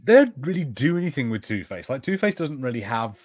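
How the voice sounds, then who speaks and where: Man with animated pitch, chatting casually, close and dry. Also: fairly quick, no room sound. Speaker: podcast co-host. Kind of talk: casual watch-party commentary.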